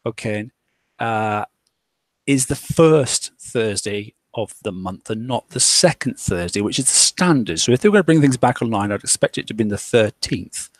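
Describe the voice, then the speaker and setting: A man's voice: fairly low pitch, expressive, normal speed, dry recording. A man hosting a casual discussion, rambling along with lots of fillers and restarts. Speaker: podcast host.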